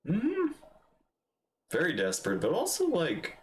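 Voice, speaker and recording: A man's voice: on the high side, huge pitch swings, measured pace. A male streamer reacts to a game in a chatty, enthusiastic way. His mid-range voice moves up and down a lot, with faint noise behind it. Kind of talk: casual livestream reaction commentary.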